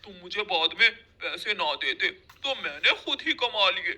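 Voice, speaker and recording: A lazy, scheming cartoon crow husband acted out by a woman, with a very bright, hard-edged tone. He grumbles and whines, always justifying himself. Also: lowish voice, animated, unhurried, husky, voice pushed down, exaggerated voice. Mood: defiant. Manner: whining, self-justifying. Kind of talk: cartoon story voice acting.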